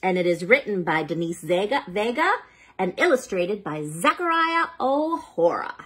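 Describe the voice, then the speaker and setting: A woman reading picture books aloud to young children, playful and dramatic, pausing for chatty asides and questions to the listener. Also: mid-range voice, big pitch swings, fairly bright tone, a little hiss or hum.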